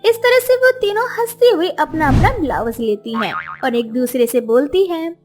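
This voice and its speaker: High, lively woman narrating a story. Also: normal speed, music in the background. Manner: expressive, storytelling.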